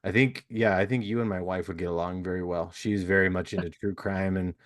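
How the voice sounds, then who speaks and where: A man's voice: low voice, steady tone, brisk. A man hosting a podcast interview, chatting casually and rambling with lots of "you know" fillers. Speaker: podcast host.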